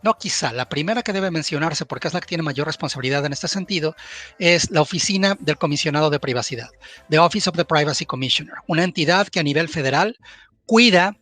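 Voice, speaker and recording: A man's voice: on the high side, lively intonation, fast, fairly bright tone, soft background music. A man from Mexico with a Mexican accent, giving an informal expert presentation and posing questions that he answers himself. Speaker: data privacy professional, panel speaker.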